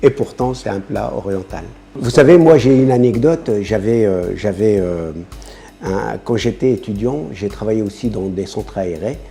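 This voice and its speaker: Male interviewee, low warm voice, casual and hesitant. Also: moderate pace, gravelly, faint music behind. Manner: hesitant, heavy with fillers, chuckles between points.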